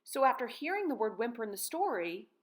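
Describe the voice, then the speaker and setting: A woman's voice: a little high, sing-song, brisk. An American woman in her thirties, a teacher reading and talking to young children in simple, guiding phrases. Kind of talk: picture-book read-aloud and lesson for children.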